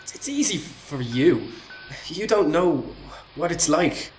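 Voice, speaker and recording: An actor playing a shy, nervous eighteen-year-old apprentice in a radio play, speaking haltingly with a stammer. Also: male voice, fairly high pitch, very animated, roomy sound, soft background music, poor audio. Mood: anxious, frustrated. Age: young adult. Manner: hesitant, halting.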